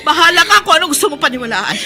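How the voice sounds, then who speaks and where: A woman's voice: sits high, expressive, rapid-fire, faint music behind, exaggerated voice. An actress playing Angie, a long-suffering mother back from working abroad, in a radio drama. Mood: anguished, shouting. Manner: pleading, turning to anguished shouting.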